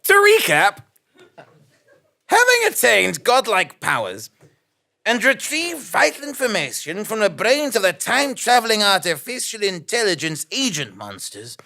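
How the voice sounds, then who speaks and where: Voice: mid-range voice, huge pitch swings, unhurried, gravelly, sharp tone, exaggerated voice. An actor playing a pompous, self-important investigative journalist, narrating a melodramatic comic audio drama in a theatrical, blustering way. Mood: grandiose.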